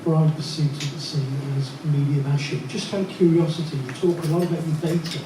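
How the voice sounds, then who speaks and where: A man's voice: fairly high pitch, steady tone, brisk. A man, a journalist and broadcaster, putting questions to a panel from the audience in an assertive, list-like way. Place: large echoey hall. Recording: echoey hall, far from mic, noise.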